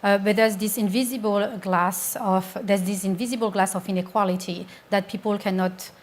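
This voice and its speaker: Woman panelist from France, earnest and insistent, mid-pitched voice. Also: fairly even intonation, moderate pace. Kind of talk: panel discussion.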